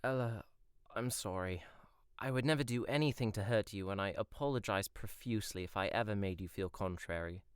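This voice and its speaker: A man's voice: lowish voice, fairly quick, clean tone, dry recording, clean studio sound, close to an everyday voice. A young man playing an earnest, playful prince who speaks formally in a fairytale audio drama. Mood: earnest, gentle. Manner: formal, old-fashioned wording.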